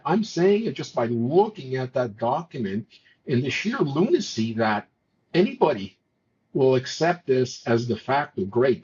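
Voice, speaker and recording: A man's voice: on the high side, a little mellow, highs cut off, slight background noise. A man from Montreal whose first language was not English, talking politics on a talk show in an exasperated way, often stumbling and restarting his words. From Montreal, Quebec. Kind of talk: political commentary chat.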